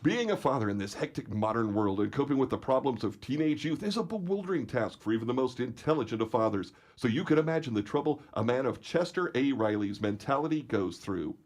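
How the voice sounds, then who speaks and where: Male radio theater announcer, formal and measured, mid-pitched. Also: lively intonation, darkish tone, soft background music.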